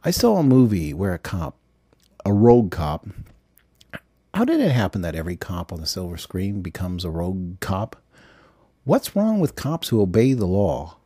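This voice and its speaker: A man's voice: low-pitched, sing-song, normal speed, warm tone, husky, no echo, studio quality, voice pushed down, heavily acted. A man narrating an audiobook as a self-absorbed male government agent, giving a rambling, self-justifying confessional monologue. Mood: indignant, rambling.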